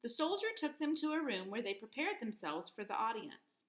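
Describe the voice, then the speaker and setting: A woman reading a children's story aloud in an expressive, clearly phrased teacher's manner. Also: on the high side, moderate pace.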